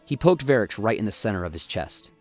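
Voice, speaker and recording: Low-voiced male narrator over soft music. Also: big pitch swings, a little fast, no echo. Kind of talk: scripted dramatic story narration.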